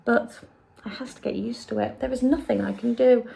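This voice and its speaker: A woman's voice: fairly high pitch, fairly even intonation, moderate pace, gravelly, mellow, slightly rough, heavily acted. A woman voicing a big, kindly male giant who talks in mangled grammar and made-up words. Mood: earnest. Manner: ungrammatical, invented words.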